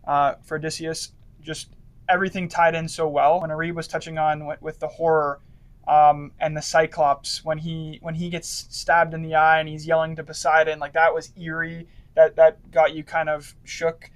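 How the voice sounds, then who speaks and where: Earnest, higher-pitched man with flat delivery. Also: moderate pace. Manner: earnest, adds detailed points. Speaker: podcast co-host. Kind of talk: casual chat.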